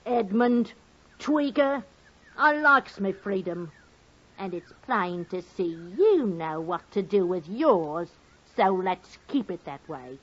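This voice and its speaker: Slow-talking, gossipy rustic wife. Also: female voice, medium pitch, animated. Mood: proud, indignant. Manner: folksy, dramatic, gossipy. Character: talkative rustic English wife, wronged, sweetly indignant. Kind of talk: 1950s radio drama acting. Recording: muffled old radio recording.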